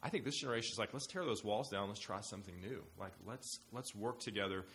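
Quick talking host guy, lowish voice, eager, bit of background hiss. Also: a bit bright. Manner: quick, eager, leads the questions. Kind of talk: casual panel discussion.

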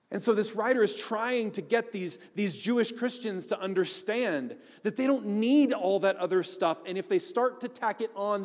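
High-voiced man preaching in a reverberant hall. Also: darkish tone. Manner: earnest, explanatory, building to emphatic appeals. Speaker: pastor. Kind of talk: sermon teaching on Hebrews.

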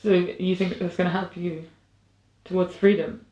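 A man's voice: sits high, level tone, moderate pace, darkish tone, reverberant, recorded from a distance, highs cut off. A man hosting a podcast, asking short questions and prompting his guest. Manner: brief prompting questions and murmured backchannel. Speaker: podcast host. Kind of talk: interviewing.

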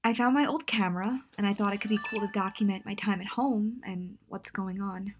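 A woman's voice: medium pitch, brisk, close to an everyday voice. A young woman playing an anxious, lonely quarantine diarist in an audio drama. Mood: uneasy. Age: young adult. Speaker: student voice actor. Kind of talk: audio diary drama acting.

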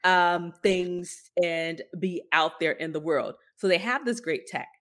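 A woman's voice: on the low side, unhurried, a bit bright. A woman co-hosting a podcast, giving conversational, emphatic and skeptical commentary on tech news. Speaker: podcast co-host.

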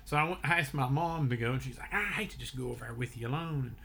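A man hosting a podcast, telling a story in a relaxed way with many fillers, his voice a bit deeper than usual. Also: fast, vocal fry, a little hiss or hum. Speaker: podcast host. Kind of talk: casual storytelling chat.

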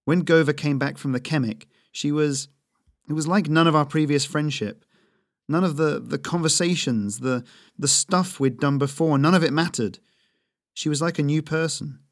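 A man playing a gruff, stubborn male hunter who talks in a grumbling way. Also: a little high, moderate pace, dry recording, clean studio sound, voice pushed up, lightly acted.

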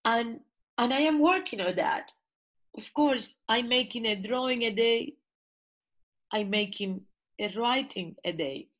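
A woman's voice: on the high side, a bit bright. A woman whose first language is not English, an artist and professor speaking and reading her own poem in an interview. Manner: slow, impassioned, with rising emphasis. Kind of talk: reflective spoken essay and poetry reading.

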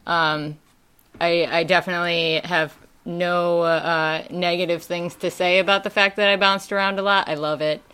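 A woman's voice: fairly low pitch, little pitch movement, moderate pace, fairly bright tone, clear, resonant tone. A young woman with a Wisconsin accent, chatting casually as a podcast guest and rambling with lots of fillers and laughs. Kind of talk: casual chat.

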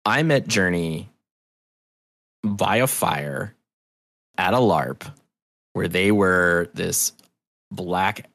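A man with a low voice in a dry, close-sounding space, talking as an interview guest. He rambles in a casual, animated storytelling style, swears freely and pokes fun at himself. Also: drawn-out words, vocal fry, long pauses, dry recording. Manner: animated, profane, rambling, self-deprecating. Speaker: interview guest, festival promoter.

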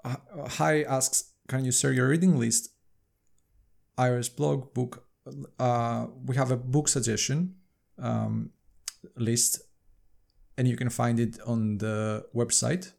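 Male podcast co-host, gravelly voice, easygoing and filler-heavy. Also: mid-range voice, fairly even intonation, slow. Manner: agreeable, filler-heavy, trails off softly. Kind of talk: casual podcast chat.

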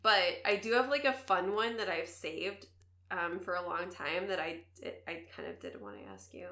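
A woman's voice: fairly low pitch, expressive, moderate pace, pushed and bright, roomy sound. A woman with a Wisconsin accent, tipsy and chatting loosely as a podcast co-host, rambling and swearing freely. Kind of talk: casual chat.

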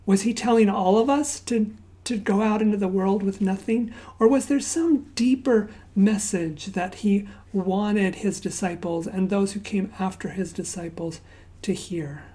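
Soft-spoken woman preaching, slow and mellow. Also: medium pitch. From Zambia. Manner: soft, unhurried, often dropping to a hushed near-whisper; conversational storytelling with fillers and asides. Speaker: pastor. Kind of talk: sermon with opening prayer.

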